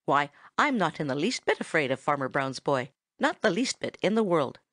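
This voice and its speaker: A woman's voice: low voice, very animated, normal speed, a bit bright, no echo, clean studio sound, heavily acted. A woman voicing a cheery, boastful little male chickadee bird in a storybook reading, bubbly and merry. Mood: cheerful, boastful.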